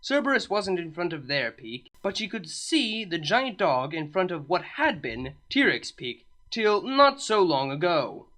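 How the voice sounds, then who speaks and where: A woman reading comedic fan fiction aloud in an expressive storybook narration. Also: fairly low pitch, measured pace, few pauses.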